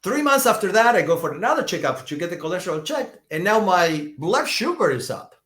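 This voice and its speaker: A man in his fifties, a doctor being interviewed, telling stories in a lively way, often restarting and repeating words. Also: sits high, fairly quick, roomy sound. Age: 50s. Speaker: functional medicine doctor, guest. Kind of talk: conversational podcast interview.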